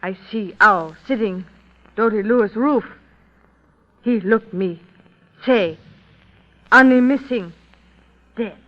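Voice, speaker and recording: An actress playing a stubborn, solemn mother on the witness stand, speaking terse, halting broken English in an old radio drama. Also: mid-range voice, natural voice. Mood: stolid, halting. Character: stubborn, solemn Native woman, mother giving testimony. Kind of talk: 1930s radio drama acting. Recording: dull old radio recording.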